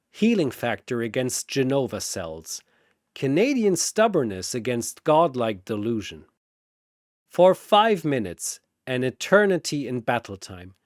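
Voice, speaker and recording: A man's voice: mid-range voice, darkish tone, dry recording, clean studio sound. A man giving dramatic scripted narration of a fantasy battle, theatrical and emphatic, as narrator and announcer.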